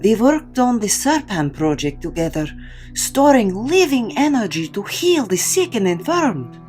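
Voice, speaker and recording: A woman playing a calm, authoritative Soviet vampire kommissar in an audio drama, speaking in a measured, worldly way. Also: mid-range voice, soft background music. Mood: grave, explaining.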